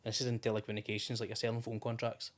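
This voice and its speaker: A man's voice: on the low side, completely flat delivery, racing. A Scottish man in his thirties, a podcast guest in casual, rambling conversation with many restarts. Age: 30s. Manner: chatty and rambling, with frequent restarts and fillers. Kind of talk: casual interview chat.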